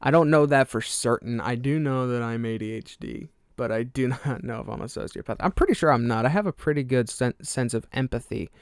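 A man's voice: mid-range voice, brisk, few pauses, clean tone, dry recording, clean studio sound. Rambling man with a neutral American accent. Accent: fairly neutral American. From Alabama. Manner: rambling, self-deprecating, loses track; casual, frequent fillers and laughs. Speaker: podcast host. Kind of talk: solo rambling podcast monologue.

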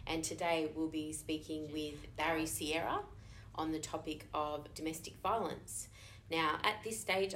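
A woman hosting a podcast, giving a measured, formal introduction and asking questions. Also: sits low, a little hiss or hum, low-quality recording. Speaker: podcast host.

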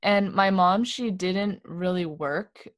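Relaxed young woman, low dry voice. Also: takes it slowly, no room sound. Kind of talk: casual chat.

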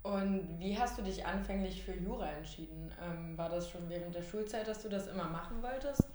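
A woman's voice: on the low side, a little fast, a little mellow. A woman asking casual, informal questions as an interviewer. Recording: very echoey, reverberant room.

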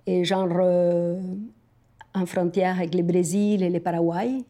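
A woman's voice: lowish voice, level tone, unhurried, mellow. A woman from Argentina, a native Spanish speaker with an Argentine accent, talks reflectively and personally to a companion, often searching for words.

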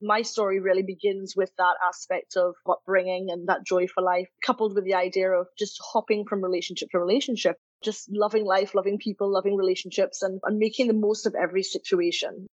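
A woman's voice: mid-range voice, flat delivery, brisk, hardly any pauses, no room sound. A woman from Trinidad, a guest telling her personal story in a reflective, earnest way, often saying "you know" and "kind of". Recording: slightly dull, dry recording.

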